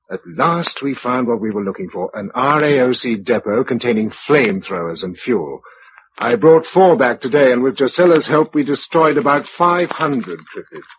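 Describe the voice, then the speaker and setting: A man's voice: mid-range voice, natural voice. An actor with an English accent playing a practical, watchful male survivor in a vintage radio drama. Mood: reflective journal. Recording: dull, noisy old radio recording.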